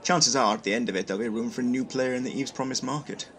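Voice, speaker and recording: A man playing an awkward, wry and enterprising trader in an audio drama, halting and self-deprecating. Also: mid-range voice, a bit bright, lightly acted. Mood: awkward, hopeful.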